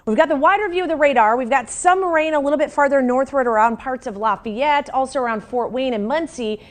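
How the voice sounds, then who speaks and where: Female news anchor, American, higher-pitched voice, scripted local newscast delivery. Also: brisk.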